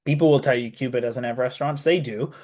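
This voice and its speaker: A man's voice: mid-range voice, quick pace, a little mellow. A young man, a student guest on a radio interview, talking casually with lots of fillers and restarts. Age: young adult. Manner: rambling, many fillers and restarts. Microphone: studio mic.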